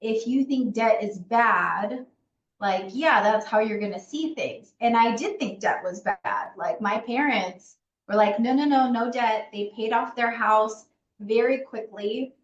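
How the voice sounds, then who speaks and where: A woman in her thirties answering interview questions in a relaxed, reflective way. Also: on the high side, unhurried. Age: 30s. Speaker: client service assistant. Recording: muffled, roomy echo.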